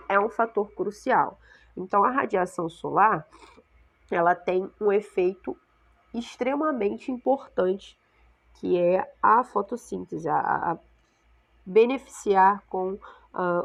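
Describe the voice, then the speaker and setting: A woman with a Brazilian Portuguese accent teaching a science lesson to sixth graders, congested from a cold and often checking in with her class. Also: mid-range voice. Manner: explanatory, checks in with frequent "tá?" and "né?".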